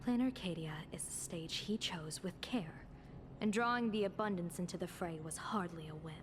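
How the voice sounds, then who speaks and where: An actress playing a composed, shrewd commander who speaks in a grave, formal and portentous manner. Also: female voice, on the low side, moderate pace, background noise, close to an everyday voice. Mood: grave, assured. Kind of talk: dramatic game voice acting. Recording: music behind the voice.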